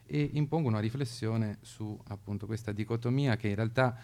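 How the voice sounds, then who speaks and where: Male radio talker, low gravelly voice, thoughtful and halting, political explainer. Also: a little mellow. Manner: hesitant, searching, intellectual. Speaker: radio show speaker.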